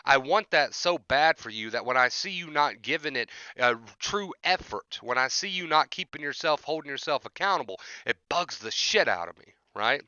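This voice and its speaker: Forceful, bright-voiced man on a livestream. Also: on the high side, lively intonation, normal speed, few pauses, clear, resonant tone, no echo, muffled recording. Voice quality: voice tiring from overuse. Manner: emphatic, repetitive, often checks with "right?". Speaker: livestream host, anxiety recovery coach. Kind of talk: motivational rant to live chat.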